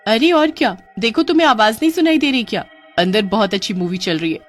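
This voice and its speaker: A woman's voice: a little high, animated, quick pace, lightly acted. A woman voicing a resourceful, caring young girl in a cartoon, speaking with urgent exclamations. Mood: urging. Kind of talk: Hindi cartoon voice acting. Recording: music under the voice.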